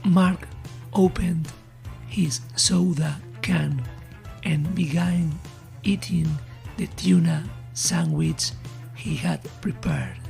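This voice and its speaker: A woman narrates a horror story at an extremely slow, suspenseful pace. Her low voice has a strong gravelly fry, and music plays underneath. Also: fairly even intonation, a little mellow. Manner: measured, suspenseful.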